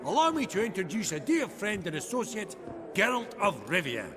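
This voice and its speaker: Chattering socialite for game: high, very animated, effusive, irreverent teasing. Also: male voice, moderate pace, music in the background. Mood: excited, ingratiating.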